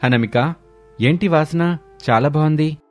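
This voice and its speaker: A man's voice: on the high side, measured pace, pitched above the performer's own voice, close to an everyday voice. A man voicing a busy, affectionate husband and father in a cartoon family story. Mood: eager. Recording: music bed under voice.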